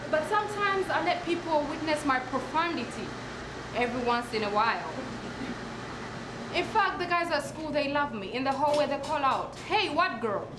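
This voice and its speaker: High-voiced woman reciting theatrically, echoey room. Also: lively intonation, moderate pace. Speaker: performer reciting a literary piece. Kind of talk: dramatic recitation of a comic monologue. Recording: echoey room with clear background noise.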